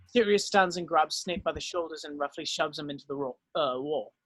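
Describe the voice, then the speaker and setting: High-voiced man reading stage directions. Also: a little fast, a bit bright. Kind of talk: scripted narration at a table read.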